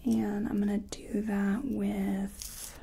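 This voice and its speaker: A woman softly whispering in an ASMR roleplay as a makeup artist, breathy and gentle, with a hesitant, murmuring delivery. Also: mid-range voice, level tone, slow, slight background noise. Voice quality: breathy, mostly unvoiced whisper.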